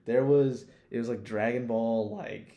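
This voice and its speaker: A man's voice: medium pitch, fairly even intonation, measured pace, mellow, echoey room. A man co-hosting a podcast in casual, slangy, sweary chat, rambling along like an enthusiastic fan. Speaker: podcast co-host. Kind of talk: casual chat, profanity-laden.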